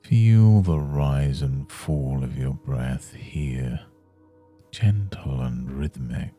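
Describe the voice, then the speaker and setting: A man guiding a scripted meditation in a soothing, measured manner with long pauses between phrases. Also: very deep voice, expressive, dark tone. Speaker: meditation guide. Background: faint music.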